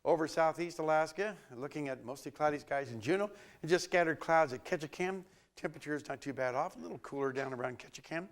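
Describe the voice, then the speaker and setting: A man presenting a local TV weather forecast, a little hoarse from a cold, talking loosely with many fillers and restarts. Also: fairly high pitch, fast.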